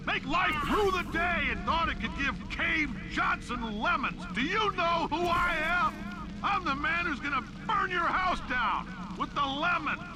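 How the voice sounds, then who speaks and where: An actor playing a brash, ranting older company boss in a comedic video game, speaking in emphatic announcements. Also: male voice, very high-pitched, expressive, measured pace. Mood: indignant, furious. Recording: heavy noise behind game audio.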